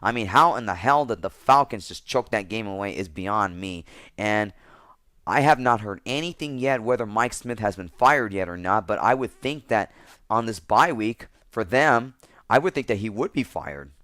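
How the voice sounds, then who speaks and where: A man with a slightly hoarse voice, giving casual football commentary as a picks vlogger and rambling, restarting his sentences often. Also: on the low side, expressive, normal speed, fairly bright tone, no room sound.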